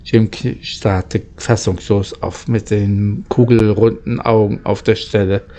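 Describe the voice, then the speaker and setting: A man reading a children's story aloud in a halting way, stumbling over words now and then. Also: lowish voice, steady tone, mellow. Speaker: amateur audiobook reader.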